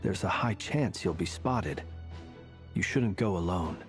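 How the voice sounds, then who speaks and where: A man's voice: sits low, measured pace, faint music behind. A man playing a serious, cautious and protective companion in a video game. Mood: concerned.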